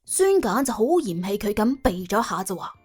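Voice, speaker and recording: A woman's voice: medium pitch, moderate pace, breathy edge. A woman narrating a comic crime audiobook in a dramatised, colloquial storytelling style. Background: soft background music.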